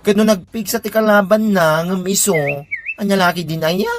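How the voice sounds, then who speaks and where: An actress with an Ilocano accent playing a gossipy, skeptical, sharp-tongued woman in a comedy radio drama. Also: medium pitch, normal speed, a little hiss or hum, close to an everyday voice. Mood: mocking.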